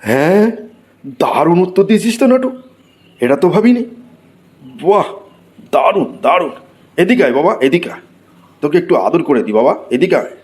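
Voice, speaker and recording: A man voicing an excitable, exasperated schoolteacher who scolds and exclaims in a comic cartoon. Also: fairly high pitch, moderate pace, pauses often, slight background noise. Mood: excited. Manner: scolding, exclamatory, mock-praising. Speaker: cartoon voice actor. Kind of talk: comic Bengali cartoon dialogue.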